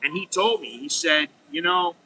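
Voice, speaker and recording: A man's voice: a little high, moderate pace, sharp tone, a little hiss or hum, rough recording. A middle-aged American man talking frankly in a rambling, unscripted monologue, full of restarts and "you know.". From United States. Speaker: vlogger.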